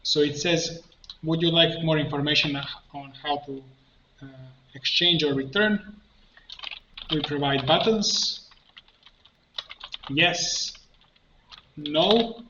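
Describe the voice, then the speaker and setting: A man narrating a step-by-step software tutorial, thinking aloud in a halting way as he works. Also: on the high side. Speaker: tutorial instructor, coach. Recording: muffled, roomy, faint noise, keyboard clicks. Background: computer keyboard typing and clicking.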